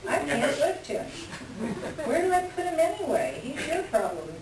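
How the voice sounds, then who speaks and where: A woman's voice: high voice, big pitch swings, moderate pace. A woman playing a blunt, sardonic, exasperated assistant in a staged readers' theater performance. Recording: echoey room, distant mic, noisy background.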